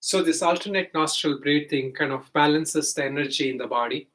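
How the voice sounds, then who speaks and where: A man teaching guided meditation, giving gentle, simple step-by-step instructions with hesitant pauses. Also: on the high side.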